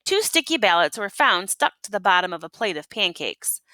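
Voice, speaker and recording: Female storybook reader, teacher type, lively swooping intonation, questioning asides, dry recording. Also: mid-range voice, fairly quick, dry recording. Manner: teacherly, prompting listeners with questions. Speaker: teacher reading a picture book aloud to children. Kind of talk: expressive read-aloud storytelling with asides and questions.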